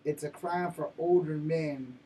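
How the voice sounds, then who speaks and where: A man's voice: a little high, steady tone, a little slow, dark tone. An American man in his fifties chatting casually to viewers in a rambling, profane, slangy way. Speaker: host of his own vlog. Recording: noisy, distant-sounding mic with room ambience.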